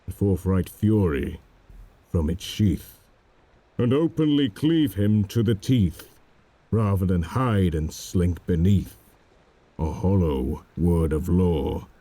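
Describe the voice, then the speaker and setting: A man voicing a grim, defiant Puritan adventurer in a verse story, dark, sombre and deliberate. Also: sits low, lively intonation, dry recording, heavily acted. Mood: stern reproach.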